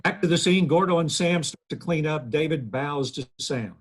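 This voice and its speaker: A man's voice: on the high side, moderate pace, slight background noise. A man in his thirties narrating stage directions at a table read, from home over a video call. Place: living room, video call.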